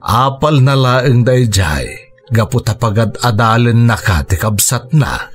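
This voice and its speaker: A man playing a gentle, forgiving middle-aged father with a husky voice, acted for Ilocano radio drama with a Filipino accent. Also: medium pitch, lively intonation, a little slow, faint music behind, natural voice. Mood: resigned, soothing.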